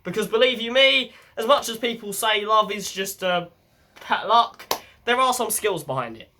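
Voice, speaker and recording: A young man with a blocked, croaky voice from a head cold, talking bluntly and chattily as a self-help vlog host. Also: high voice, normal speed, heavy background noise. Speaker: channel host.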